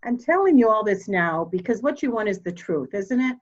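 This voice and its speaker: A woman's voice: mid-range voice, lively intonation, lightly acted. Woman acting a cold, blunt old mother, muffled sound. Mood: composed, candid. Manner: formal, deliberate, blunt. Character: proud, cold old mother, candid and slightly deaf. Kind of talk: dramatic reading of a murder mystery.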